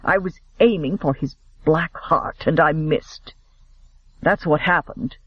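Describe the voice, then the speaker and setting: A man's voice: on the high side, takes it slowly, husky, no echo, muffled recording. A man playing a furious, proud young nobleman who snaps bitterly. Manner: snapping, bitter.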